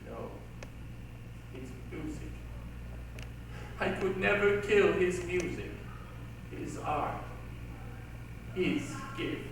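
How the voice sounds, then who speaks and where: A man playing a tormented, obsessive composer in a theatrical stage reading, drawing out his words and swelling into shouts. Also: high-pitched, expressive, heavily acted. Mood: bitter anguish. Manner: dramatic, drawn-out, swelling to shouts. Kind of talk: theatrical readers' theatre monologue. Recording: echoey hall, distant mic, hissy old tape.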